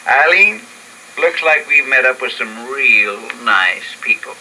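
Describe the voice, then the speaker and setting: An actor playing a folksy, easygoing drifter who talks plainly and adopts a daughter, in vintage radio drama style. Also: male voice, mid-range voice, animated, slow, very noisy. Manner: drawling folksy talk, plainspoken.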